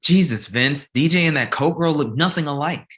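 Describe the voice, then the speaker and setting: A woman's voice: deep voice, normal speed, lightly acted. A woman playing an exasperated, blunt young male manager, the sensible one of his group, in a comedy table read. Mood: annoyed.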